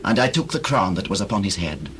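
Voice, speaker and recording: An actor playing a subdued young messenger from a battlefield, gravely recounting in a scripture audio drama on old cassette. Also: male voice, sits low, animated, fast, fairly bright tone. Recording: noisy old cassette tape recording.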